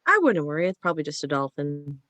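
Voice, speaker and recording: A woman's voice: deep voice, very animated, fast, sharp tone, soft background music, full character voice. A woman acting wry, defensive women in a comedy screenplay table read. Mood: defensive, evasive.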